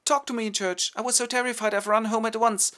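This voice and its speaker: A man voicing a panicky young bride in a comic play retelling, with high shrieking outbursts. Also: level tone, fast, pushed and bright. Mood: panicked.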